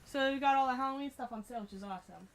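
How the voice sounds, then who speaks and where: A young woman streaming a game with a friend, chatting casually in a loud, projecting voice. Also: high-pitched, rapid-fire, a little hiss or hum. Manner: chatty, showing off plushies. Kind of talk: casual chat.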